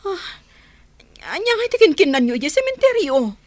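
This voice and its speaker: An actress playing a concerned, brisk and kind businesswoman in an Ilocano radio drama. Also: very high voice, big pitch swings, no room sound. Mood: concerned, inquisitive. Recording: music under the voice.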